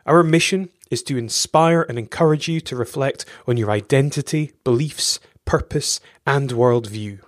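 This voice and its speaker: A man's voice: mid-range voice, moderate pace, few pauses. A man hosting an interview podcast, polite and encouraging toward his guest. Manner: polite, encouraging, frequent affirmations. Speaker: podcast host.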